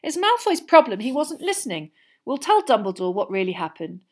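A woman's voice: on the high side, big pitch swings, a little fast, voice pushed up. A woman voicing a loyal, plain-spoken schoolboy in an audiobook, direct and pointed. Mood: indignant, reassuring.

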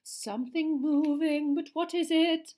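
A woman doing a dreamy, breathy fortune-telling teacher. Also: high voice, steady tone, a little mellow, pitched above the performer's own voice. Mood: dreamy mystic. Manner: slow, breathy, theatrical murmuring. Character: dreamy, mystical older female divination teacher.

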